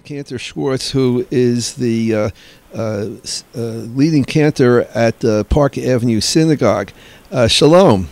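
A man in his late seventies hosting a radio interview, courteous and hesitant, full of pauses and fillers. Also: mid-range voice, darkish tone, soft background music. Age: late 70s. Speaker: radio show host.

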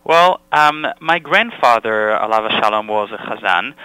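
Bright, resonant Israeli-accented man. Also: lowish voice, expressive, a little slow, smooth, no room sound, poor audio. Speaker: cantor and music director. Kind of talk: radio interview.

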